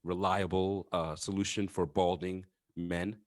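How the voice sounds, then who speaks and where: A man's voice: low-pitched, level tone, unhurried, no echo, clean studio sound. A man co-hosting a podcast, joking around and laughing a lot in casual chat. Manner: jokey, laughs often. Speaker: podcast co-host.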